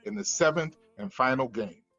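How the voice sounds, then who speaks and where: A man's voice: medium pitch, moderate pace, fairly bright tone, dull audio, faint music behind. A man reading screenplay stage directions as scripted narration.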